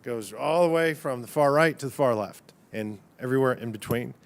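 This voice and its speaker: A man's voice: mid-range voice, a little fast, gravelly. A man, a congressman, questioning a witness at a hearing in a pointed, combative way, stacking up numbers. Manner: pointed, combative, piles up figures. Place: hearing room.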